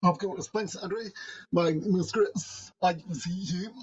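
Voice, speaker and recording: A man's voice: high-pitched, fairly even intonation, slow pace, husky. A man in his forties from Edmonton, a deaf theatre artist, talking earnestly as a podcast guest and listing his work at length. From Edmonton, Canada. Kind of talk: interview conversation. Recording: slightly dull lo-fi audio.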